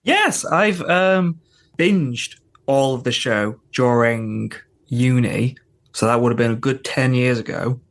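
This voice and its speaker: Young man chatting, mid-pitched voice. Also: takes it slowly. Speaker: podcast panellist. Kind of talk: casual chat.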